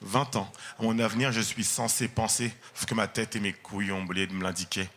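A man's voice: lowish voice, fairly even intonation, fairly quick, fairly bright tone. A man in his thirties, a comedian, talks casually to an audience on stage and then recites a rhythmic, rhyming spoken-word piece into a handheld mic. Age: 30s. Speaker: comedian and performer.

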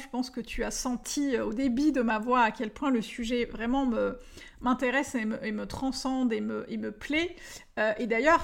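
A woman in her thirties from France hosting a solo podcast as a dating coach, talking eagerly to the listener with many fillers and restarts. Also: sits high. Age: 30s.

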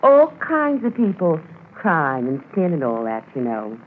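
A woman playing a garrulous, folksy, flattering cabin keeper in a 1950s radio drama, on an old radio recording. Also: low voice, measured pace, exaggerated voice. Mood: chatty, impressed. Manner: garrulous, colloquial. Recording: tinny, hissy 1950s radio transcription.

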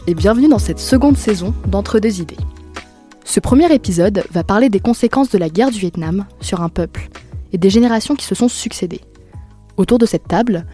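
A woman from France hosting a podcast, reading a scripted introduction clearly and steadily, then asking questions. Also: mid-range voice, mellow. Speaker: podcast host.

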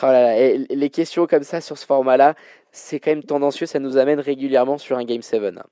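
Guy hosting a basketball quiz, chatty and slangy, soft mellow tone, dry sound. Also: mid-range voice, fairly even intonation, brisk, clear, resonant tone, no echo. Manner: informal, colloquial slang. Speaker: quiz show host. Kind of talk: hosting a basketball trivia quiz, casual banter.

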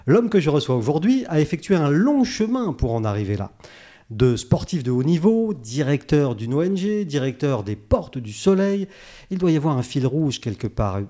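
Give this man a soft, mellow, relaxed voice at a medium male pitch. He hosts a conversational podcast interview, keeping it informal and jokey and often jumping in with short interjections.

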